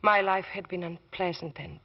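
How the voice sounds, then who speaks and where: Woman acting a low-voiced, guarded widow. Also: moderate pace, natural voice. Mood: restrained. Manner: restrained, terse answers. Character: proud, guarded young widow on trial. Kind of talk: old-time radio drama acting. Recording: dull vintage radio recording.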